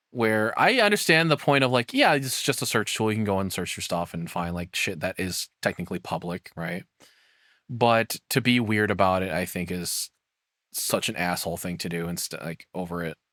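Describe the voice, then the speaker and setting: A man with a fairly low voice reacts to a video as a streamer would, in a close, dry recording. His delivery is informal and rambling, with casual swearing mixed in. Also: a bit bright, dry recording.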